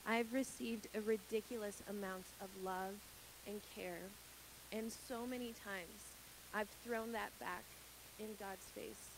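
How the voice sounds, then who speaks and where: A woman's voice: medium pitch, steady tone, a little slow. A woman in her twenties gives a personal testimony to a congregation, candid and earnest, and laughs at her own slips. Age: 20s. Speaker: church member sharing her testimony. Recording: hissy background noise.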